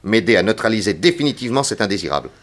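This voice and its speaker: A man playing a grave, persuasive detective in a 1960s French radio serial, formal, deliberate and insistent. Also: lowish voice, very animated. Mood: firm, commanding. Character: grave, persuasive male detective, solemnly warning a young woman.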